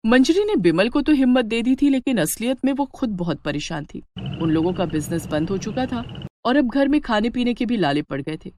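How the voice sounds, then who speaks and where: A woman narrating a scripted moral story in an expressive storytelling manner. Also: fairly high pitch, normal speed, background noise.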